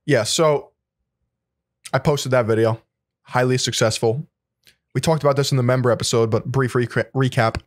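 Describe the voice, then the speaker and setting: A man's voice: medium pitch, steady tone, normal speed, pauses often, dry recording. Chill guy on a podcast, mid voice, rambles through explanations, dry close mic. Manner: laid-back, explains at length, repeats words. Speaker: podcast co-host. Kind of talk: casual podcast conversation.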